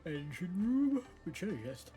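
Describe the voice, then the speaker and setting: A man's voice: sits high, big pitch swings, measured pace, dark tone, faint music behind. A man giving casual gameplay reaction commentary as a streamer, informal and musing aloud. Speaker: game streamer.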